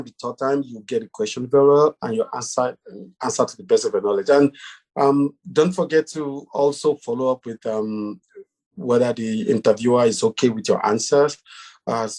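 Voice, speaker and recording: A man's voice: fairly low pitch, a little mellow. A man on a casual panel giving careful, hesitant advice on interviews, with frequent ums and uhs, over a video call. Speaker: panelist on job hunting.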